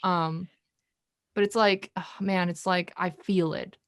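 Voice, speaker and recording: A woman whose first language is Korean, hosting a casual chat over a video call and thinking aloud with many restarts and pauses. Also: fairly low pitch, steady tone, no room sound, clean studio sound. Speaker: podcast host. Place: indoors in Korea.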